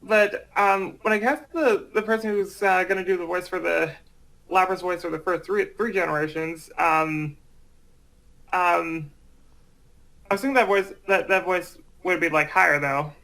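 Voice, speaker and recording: A man in casual chat who speaks haltingly, hesitating and repeating himself as he goes. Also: high voice, fairly even intonation, creaky, sharp tone, rough recording. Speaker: amateur voice project creator.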